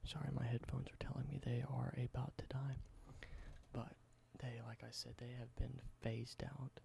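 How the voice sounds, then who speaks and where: A man doing a whispered ASMR roleplay, explaining things slowly and correcting himself now and then. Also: mid-range voice, little pitch movement, vocal fry, slight background noise. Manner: soft whisper, slow explanations with small self-corrections. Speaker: ASMR creator.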